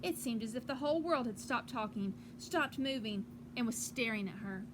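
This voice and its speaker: A woman teacher reading a picture book aloud to children outdoors on a porch, with gentle, encouraging asides. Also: fairly high pitch, expressive, normal speed. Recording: clearly noisy outdoor ambience.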